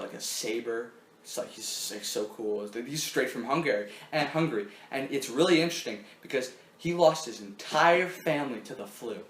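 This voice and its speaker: A man's voice: medium pitch, a little hiss or hum. A young man hosting a casual podcast chat, rambling with many fillers and restarts. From Canada. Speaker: podcast host. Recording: echoey room.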